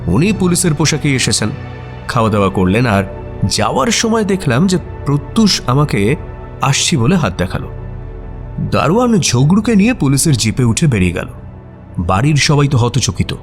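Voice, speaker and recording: A man acting a detective's puzzled, admiring friend who narrates a mystery audio story in an expressive, suspenseful way. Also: mid-range voice, moderate pace, long pauses, close to an everyday voice. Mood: storytelling. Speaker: narrator and sidekick character. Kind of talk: dramatized first-person audio story narration. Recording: music behind the voice. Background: music.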